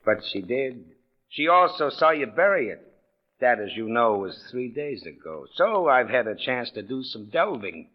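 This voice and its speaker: An actor playing a shrewd police captain in a 1940s radio mystery, questioning in a dryly ironic, insinuating way. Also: male voice, medium pitch, sing-song, normal speed. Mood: wry, probing. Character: shrewd, wry, probing male police captain. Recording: dull old radio recording.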